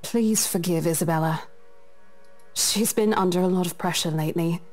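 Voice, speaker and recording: A woman's voice: fairly low pitch, normal speed, darkish tone, close to an everyday voice. A woman playing a polite, accommodating real estate agent in a visual novel, courteous and apologetic, with faint music behind.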